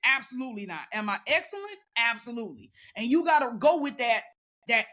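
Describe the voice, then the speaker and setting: Loud, emphatic woman. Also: a little high, expressive, a little fast. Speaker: podcast guest. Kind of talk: casual conversation.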